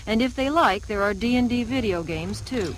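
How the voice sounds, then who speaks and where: A woman's voice: fairly high pitch, normal speed. A woman with a North American accent delivering scripted news documentary narration as a television reporter. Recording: faint noise, old TV broadcast tape.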